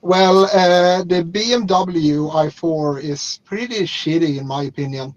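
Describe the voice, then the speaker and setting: A man's voice: a little high, a little slow. A man from Sweden, calling in while driving, blunt and hesitant with many uh's. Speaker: caller. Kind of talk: casual chat. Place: driving a car. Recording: dull lo-fi call audio.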